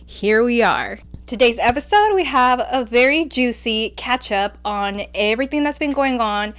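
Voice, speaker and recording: A woman's voice: fairly high pitch, lively intonation, moderate pace, a bit bright. A woman in her late twenties whose first language is Spanish, with a light Mexican accent, hosting her own podcast in a casual, chatty way full of fillers. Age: 20s. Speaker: podcast host. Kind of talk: casual solo podcast chat.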